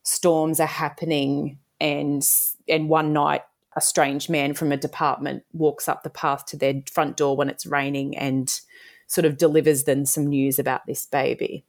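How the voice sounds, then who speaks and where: Low-voiced author from Australia. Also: female voice, level tone, unhurried. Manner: thoughtful, meandering, many fillers and restarts. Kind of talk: interview answers.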